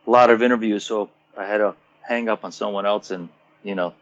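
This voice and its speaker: Lively storytelling rock singer, lo-fi line. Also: male voice, on the low side, a little fast, dry recording. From Croatia. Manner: animated storyteller, swearing, acting out quotes. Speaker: rock singer, interview guest. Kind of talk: casual phone interview.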